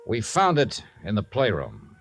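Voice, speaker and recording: A man's voice: fairly low pitch, wildly swooping pitch, a little slow, full character voice. A man playing a laconic, dry-witted insurance investigator who also narrates, in a 1950s radio drama. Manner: laconic, dryly wry. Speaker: narrator and lead detective. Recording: vintage radio recording with music.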